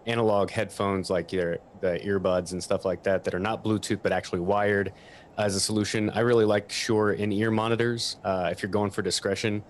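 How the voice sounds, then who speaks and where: A man's voice: lowish voice, steady tone, fairly quick, slight background noise. A man giving an informal technical explanation as an audio equipment expert, speaking into a stationary microphone at a desk. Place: at a desk in a room.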